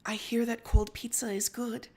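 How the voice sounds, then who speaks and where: A woman acts out a knowing, composed girl in a story reading. She speaks softly and with measure, in a fairly high voice, gently teasing. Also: level tone, husky. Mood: soft, gentle. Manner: soft, quiet, measured.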